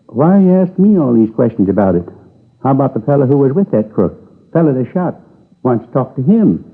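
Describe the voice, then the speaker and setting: An actor playing a shaken middle-aged robbery victim in a 1950s radio police drama, plaintive and husky. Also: male voice, medium pitch, sing-song, brisk. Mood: uneasy. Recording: tinny old radio broadcast.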